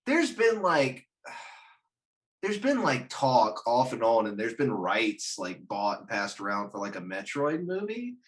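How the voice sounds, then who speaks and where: A man in casual panel chat, jokey and halting, swearing casually as he thinks aloud. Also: mid-range voice, very animated. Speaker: podcast panelist.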